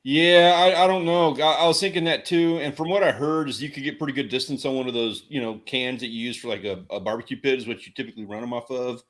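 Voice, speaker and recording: A man's voice: medium pitch, fast, hardly any pauses, a bit bright. A man with a Texan accent chatting casually as a livestream co-host, joking and rambling through stories. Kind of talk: casual chat about fishing and outdoors.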